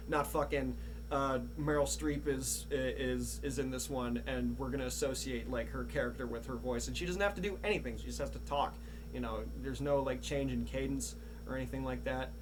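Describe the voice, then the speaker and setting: A man hosting a casual podcast chat, swearing freely and often restarting his sentences. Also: mid-range voice. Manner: profane, halting with repeated starts. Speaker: podcast host. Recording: audible background noise and hum.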